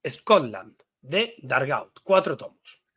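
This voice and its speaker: A man's voice: mid-range voice, very animated, takes it slowly, fairly bright tone, breathy edge. A man hosting a casual podcast, reading out a list of comic titles one by one in short bursts. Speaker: podcast host.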